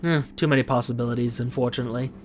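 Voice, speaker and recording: A man's voice: medium pitch, fairly even intonation, rapid-fire, a little mellow, slight background noise. A man playing a space exploration game, thinking aloud with frequent hums and reading out the game's text, a little strained as he is losing his voice. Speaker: game streamer. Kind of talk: let's play commentary with reading of game text.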